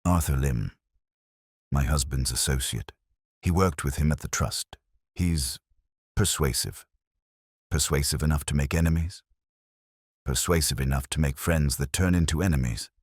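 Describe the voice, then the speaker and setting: A man voicing a guarded, grieving widow with quiet restraint in an audiobook mystery. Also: extremely low pitch, moderate pace, long pauses, slightly rough, no room sound, studio quality. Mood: guarded, subdued. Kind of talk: audiobook character dialogue.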